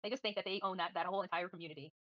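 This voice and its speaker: A woman's voice: on the low side, steady tone, racing, dry recording, muffled recording. A woman livestreaming commentary and answering viewers in a combative, defiant, scornful manner. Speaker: livestream host.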